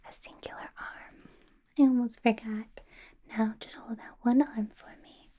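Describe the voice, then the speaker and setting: A possessive female vampire boss, played by a woman, murmurs in a soft, breathy whisper, unhurried and slow. Her voice sits a touch high and sounds dark and mellow, playful yet controlling in an ASMR roleplay. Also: fairly even intonation. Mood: teasing whisper. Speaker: ASMR artist.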